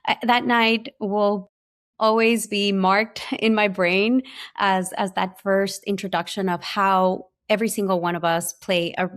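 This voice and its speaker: A woman with an Ecuadorian Spanish accent, a physician scientist telling her story in an interview, earnest and full of small restarts. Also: medium pitch. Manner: earnest, many fillers and restarts. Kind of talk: personal storytelling in conversation.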